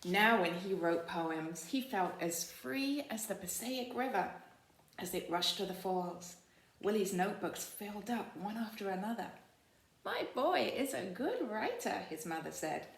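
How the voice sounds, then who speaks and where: A woman reading a picture book aloud like a teacher, in expressive storytelling with casual, simply worded asides and deliberate, phrase-by-phrase delivery. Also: mid-range voice, creaky. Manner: explains to young listeners in simple, informal words. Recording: roomy, echoey sound.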